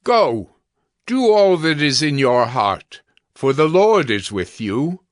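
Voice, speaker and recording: A man's voice: fairly high pitch, sing-song, slow pace, no echo, clean studio sound. A man playing an assured, encouraging prophet in a dramatized audio Bible, declaiming emphatically.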